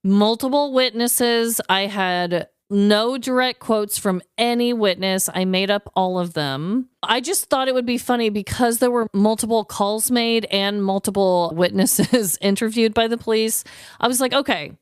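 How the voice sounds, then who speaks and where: An American woman co-hosting a podcast, telling stories in a casual, comedic, giggly and expressive way. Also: medium pitch, a little slow, clean tone, no echo, studio quality. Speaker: podcast co-host. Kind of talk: casual comedic storytelling and chat.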